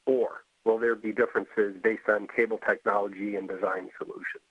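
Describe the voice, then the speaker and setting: A man's voice: fairly low pitch, little pitch movement, normal speed, breathy edge, no room sound. A man moderating a webinar, politely reading out questions and announcements in a businesslike way over a tinny phone connection. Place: remote call.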